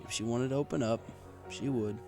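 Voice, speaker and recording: A man's voice: mid-range voice, steady tone, fairly quick, a little mellow. A man reading a story aloud in the first person as a bartender narrator. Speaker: audiobook reader. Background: music.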